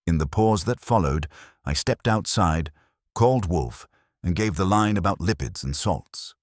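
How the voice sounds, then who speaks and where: A man narrating a scripted first-person detective story in a dry, wry, measured way. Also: deep voice, lively intonation, no echo. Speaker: audiobook narrator.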